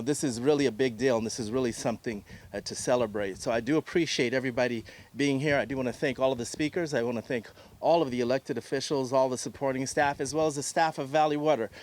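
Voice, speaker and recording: A man, an agency chief, hosting a press conference and formally thanking speakers and guests at a podium microphone. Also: mid-range voice. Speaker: host, agency CEO.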